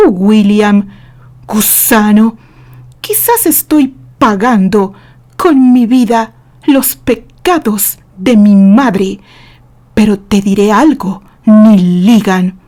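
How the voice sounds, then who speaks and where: Woman putting on a raving villainous man. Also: on the high side. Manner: shouting, mocking. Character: unhinged red-haired man, defiant villain.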